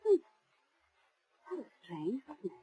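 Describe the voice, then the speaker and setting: An actress playing a concerned, inquisitive detective's girlfriend in 1940s radio style, husky, questioning and affectionate. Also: high voice, huge pitch swings, unhurried, dark tone, lo-fi sound, full character voice. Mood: worried curiosity.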